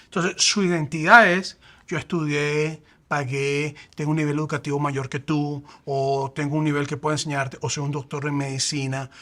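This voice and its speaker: A man, a pastor, explaining faith and identity in a lively conversational way, acting out quoted examples. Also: fairly high pitch.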